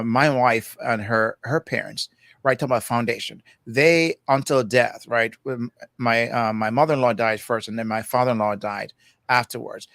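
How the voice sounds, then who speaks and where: A reflective Jamaican man in his sixties, talking as a guest in easygoing conversation. His voice sits at an ordinary middle pitch and is recorded close and dry, with almost no room sound. He keeps checking in with "you know" and "right" as he thinks aloud. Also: fairly bright tone, no echo. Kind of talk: casual conversation.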